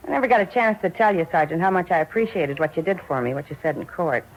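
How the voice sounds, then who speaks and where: An actress as a grateful woman fresh out of prison and going straight. She talks quickly and colloquially, with earnest plainness. It is 1950s radio drama, dulled by age with a light layer of noise. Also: on the low side, expressive, close to an everyday voice. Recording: muffled, faintly noisy vintage radio recording.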